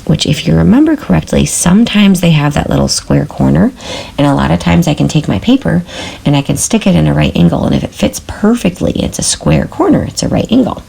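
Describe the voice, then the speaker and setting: A woman teacher giving a recorded math lesson in a hoarse voice from a sore throat, patiently checking in with her students with a frequent "okay?". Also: low-pitched, lively intonation, brisk, warm tone.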